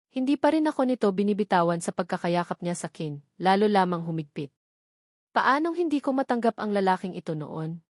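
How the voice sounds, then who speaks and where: A woman with a Filipino accent narrates a first-person romance novel. Her pitch sits in the middle range, and the sound is dry with very little room. She reads as an audiobook storyteller. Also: no room sound.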